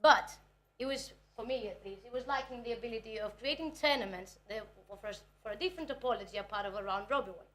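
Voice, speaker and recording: A woman's voice: a little high, fast, fairly bright tone. A young woman from Greece, a native Greek speaker, giving a nervous, chatty conference talk about research. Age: 20s. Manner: nervous, chatty, self-deprecating.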